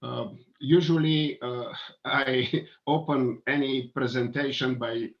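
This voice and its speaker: A man in his sixties with a Bulgarian accent presents as an engineer on a webinar. He talks in a measured, hesitant way and fills his pauses. His voice comes through a dull, lo-fi video call from a home office. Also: mid-range voice, fairly even intonation. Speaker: panelist, engineer. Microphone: video call webcam.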